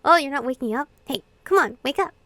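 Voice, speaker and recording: A woman's voice: high voice, animated, fast, sharp tone, no room sound, clean studio sound. A young adult woman playing a visual novel, reading dialogue and narration aloud expressively with casual, giggly reactions. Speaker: gaming content creator. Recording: game music behind the voice.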